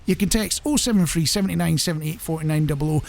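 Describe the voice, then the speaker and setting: A man's voice: on the high side, rapid-fire, vocal fry, darkish tone. A man with a British accent presenting a radio show in a casual, rambling, conversational and self-deprecating way.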